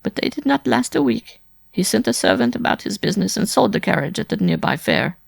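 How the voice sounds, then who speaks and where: A man's voice: high voice, huge pitch swings, brisk, gravelly, no room sound, studio quality, voice pushed up, exaggerated voice. A man voicing a frightened, worn-out wife in an audiobook, pleading breathlessly in an overwrought way. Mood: tearful, aggrieved. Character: desperate frightened wife, worn-out former circus performer. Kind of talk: audiobook character acting.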